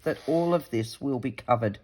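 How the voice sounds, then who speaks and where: A woman's voice: rumbling low, normal speed, dark tone, slight background noise. A woman with a stuffy head cold, chatting casually while she works through a craft project. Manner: chatty, self-talking while working. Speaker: craft video host. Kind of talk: casual craft tutorial chat.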